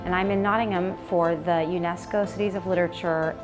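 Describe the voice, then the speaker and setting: A woman's voice: on the low side, steady tone, fairly quick. A woman from the United States who works as a library program manager, introducing herself in a matter-of-fact way. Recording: music playing behind.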